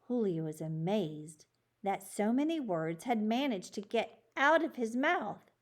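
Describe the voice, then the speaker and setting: A woman's voice: medium pitch, big pitch swings, measured pace. A woman reading a children's chapter book aloud as a storyteller.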